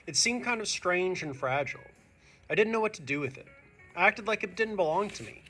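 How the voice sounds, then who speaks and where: A man who is a teacher reading a picture book aloud to young students, expressive and speaking to them directly. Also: high-pitched, brisk, faint music behind. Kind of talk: animated children's read-aloud.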